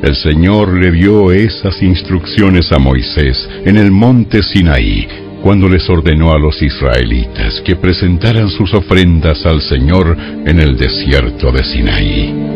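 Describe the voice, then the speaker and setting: Male narrator for Bible drama, gravelly and solemn, with animated intonation, slightly dull recording over soft music. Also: sits low. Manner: solemn, measured storytelling.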